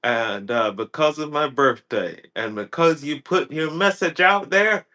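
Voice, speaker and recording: Man, 30s, livestream coach, slow, bright tone, repetitive, halting with fillers. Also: fairly high pitch, animated. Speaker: personal development coach. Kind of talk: casual livestream chat.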